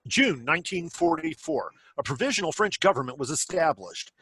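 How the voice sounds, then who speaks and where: Man acting husky war news announcer. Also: a little high, big pitch swings, brisk, fairly bright tone, no room sound, heavily acted. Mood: solemn, factual. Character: solemn 1940s war news announcer, factual. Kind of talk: scripted announcer reading in a stage play. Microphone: computer mic on a video call.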